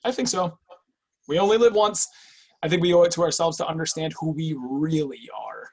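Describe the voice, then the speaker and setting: A formal, probing caseworker, played by a man in a naturalistic screenplay read. The voice sits a little high for a man and has a husky edge. The delivery is measured, controlled and businesslike. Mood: earnest, polite. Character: formal, probing male caseworker, controlled, secretly dutiful agent.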